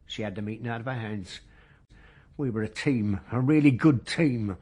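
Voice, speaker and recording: An actor as a husky-voiced male car dealer in a radio drama, cornered by police. He blusters and justifies himself, aggrieved and sorry for himself at mid pitch. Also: normal speed, mellow, lightly acted. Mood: defensive, aggrieved. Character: defensive, self-pitying, aggrieved male car dealer under police questioning. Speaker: complainant in a police interview.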